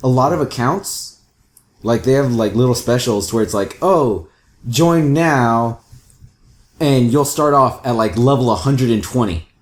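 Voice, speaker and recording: A man's voice: medium pitch, unhurried. A man explaining video game matters at length in a casual, matter-of-fact discussion. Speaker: co-host.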